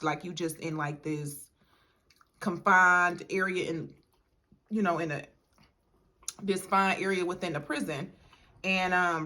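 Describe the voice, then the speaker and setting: A woman's voice: on the low side, pushed and bright. A young woman talks casually to her viewers. She rambles a little, fills gaps with "like" and "you know", and keeps calling the audience y'all. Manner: chatty, addresses viewers as y'all, many fillers. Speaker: mukbang vlogger. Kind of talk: casual storytime chat.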